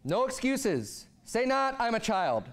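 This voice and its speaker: A pastor in his thirties preaches from the pulpit in a high, bright-edged voice. He talks with fervor and swings from low, quiet asides up to ringing exclamations. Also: slow. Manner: animated preaching, voice rising and falling a lot. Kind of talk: sermon. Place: church sanctuary, speaking at a pulpit.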